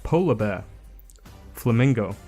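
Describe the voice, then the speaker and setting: A man's voice: medium pitch, lively intonation, unhurried, warm tone. A man narrating a scripted video, reading out items one by one. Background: background music.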